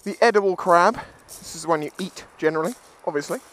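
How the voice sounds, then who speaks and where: A man's voice: sits high, fast, fairly bright tone, a little hiss or hum. A British man giving casual, jokey, sweary commentary as he vlogs outdoors on a seashore. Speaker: beachcombing vlogger.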